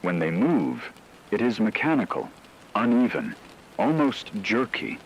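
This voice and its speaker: A man playing a solemn radio news anchor, delivering a scripted emergency broadcast in a measured, ominous way. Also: low voice, a little hiss or hum, low-quality recording. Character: grave male radio news anchor reporting an apocalypse.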